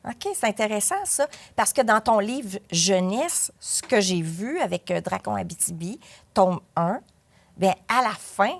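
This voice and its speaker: A woman with a Quebec French accent speaks at an average pitch. She interviews in a casual, attentive way, with informal phrasing. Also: lively intonation, a little slow, creaky. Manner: attentive, informal questioning with brief acknowledgements.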